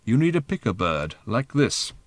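Old elder voiced by a man, grave and pompous, slow solemn archaic delivery, dry close sound. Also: mid-range voice, sing-song, no echo. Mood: instructive. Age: older.

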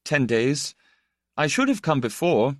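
A man's voice: mid-range voice, very animated, unhurried, a bit bright, dry recording, clean studio sound, pitched above the performer's own voice. A man voicing a proud, awkward young man in an audiobook, stiff and bitterly resigned. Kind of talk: audiobook character dialogue.

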